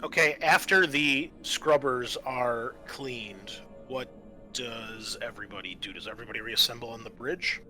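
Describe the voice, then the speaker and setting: Male game master, crisp and forward voice, informal, thinking out loud, faint music underneath. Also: mid-range voice, moderate pace. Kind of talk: running a tabletop roleplaying game. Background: quiet game soundtrack music.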